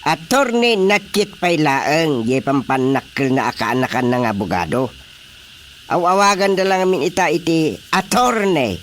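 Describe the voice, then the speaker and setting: A man playing an excitable, exclamatory village chairman with an Ilocano accent in a radio comedy drama. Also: on the high side, unhurried, slight background noise. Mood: chatty, amused.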